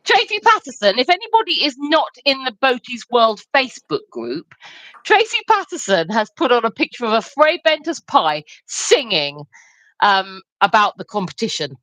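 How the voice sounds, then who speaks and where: A woman's voice: fairly high pitch, sing-song, normal speed, pushed and bright, dry recording, low-quality recording. A woman from London co-hosting a livestream, cheeky and teasing, often laughing. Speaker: livestream co-host. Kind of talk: casual banter.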